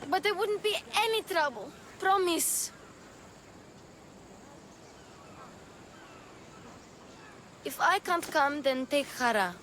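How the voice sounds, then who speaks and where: A woman's voice: very high voice, very animated, moderate pace, fairly bright tone, slight background noise, exaggerated voice. An actress playing an eager, stubborn, affectionate little girl in a video game, earnest and pleading. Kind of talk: video game cutscene acting.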